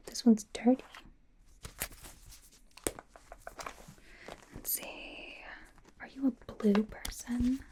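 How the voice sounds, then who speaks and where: Woman doing a whispery young kpop idol girl, slow and soft, gushing over you like a stylist, asmr roleplay. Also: on the high side. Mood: admiring, gushing. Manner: gushing, casual, slow, with repeated soft reassurances. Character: bubbly, fawning young female K-pop idol as personal stylist.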